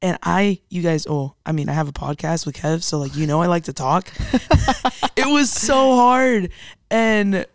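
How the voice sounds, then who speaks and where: Casting: young woman, podcast co-host, raspy recovering voice, slangy and animated, recorded close and dry. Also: measured pace, no room sound. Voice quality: somewhat deep voice for a woman. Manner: animated, slangy, self-deprecating. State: recovering from a lost voice. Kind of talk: casual podcast chat.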